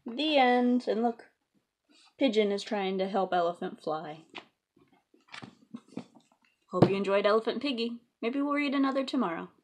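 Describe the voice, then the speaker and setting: A woman reads a storybook to preschoolers in a fairly high, very animated voice. She talks straight to the children with questions and asides, over faint background noise. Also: normal speed, pauses often. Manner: addresses young children directly with questions. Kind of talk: children's picture book read-aloud with asides.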